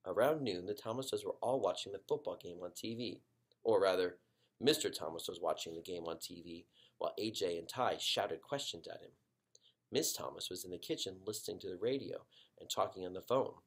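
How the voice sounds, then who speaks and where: A man narrating a children's book expressively as a story reader, with dramatic pauses and drawn-out emphasis. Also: low voice, slightly rough.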